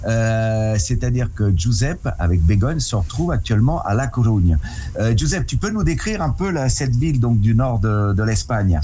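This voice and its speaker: Mellow-voiced male radio interviewer, noisy backdrop. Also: medium pitch, animated, normal speed. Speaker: radio host. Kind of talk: conversational interviewing. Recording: clear background noise.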